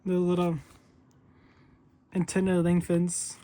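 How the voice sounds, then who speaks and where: Casual unboxing host at an everyday pitch. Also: monotone, slow pace, dark tone. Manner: informal step-by-step show-and-tell. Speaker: video host.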